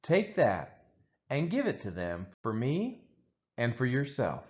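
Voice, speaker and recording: A man reading the Bible aloud from a text in a measured, deliberate, expressive way, as for a church read-along. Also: medium pitch, dull audio. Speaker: scripture reader for a daily church read-along.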